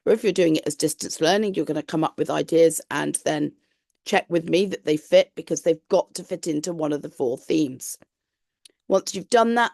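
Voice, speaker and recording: A low-pitched woman with a gravelly, croaky voice left over from the flu talks through a course introduction. She explains it patiently and reassures her listeners as she goes. The recording is dry and close, with no room sound around her. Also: a little mellow, no room sound. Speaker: tutor. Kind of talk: online lesson talk.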